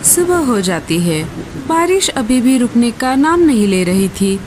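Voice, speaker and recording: A woman's voice: a little high, big pitch swings, a little slow, darkish tone. A woman narrating a scripted cartoon story. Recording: faint ambient background noise.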